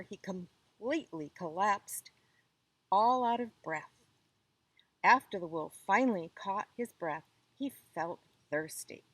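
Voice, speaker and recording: A woman reads a children's story in a husky, expressive voice. She phrases slowly and deliberately for drama, with lively movement in pitch. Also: low-quality recording.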